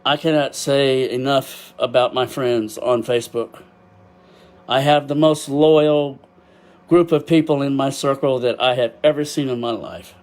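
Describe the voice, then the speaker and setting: A man's voice: mid-range voice, steady tone, measured pace. Emotional older Texan man rambling. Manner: emotional, rambling, laughs often; loud at times, deaf and can't hear himself. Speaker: podcast host. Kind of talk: casual solo podcast talk. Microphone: built-in webcam microphone.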